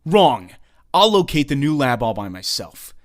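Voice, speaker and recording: A man's voice: medium pitch, big pitch swings, a little slow. A man playing a confident, commanding young costumed vigilante in a comic dub, cool, assured and condescending. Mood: calm, commanding. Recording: faint music behind the voice.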